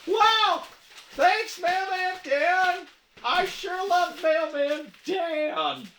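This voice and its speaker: A childlike fuzzy puppet monster with an extremely high voice. The delivery is very slow and stretched, full of excited exclamations, as on a children's show, in an echoey room. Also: a little hiss or hum, full character voice. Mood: gleeful. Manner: exclaiming, drawn-out, gleeful.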